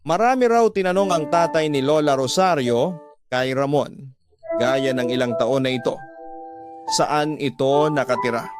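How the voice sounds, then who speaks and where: A man's voice: medium pitch, unhurried. A man giving scripted narration as the storyteller of a radio drama. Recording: music bed under the voice.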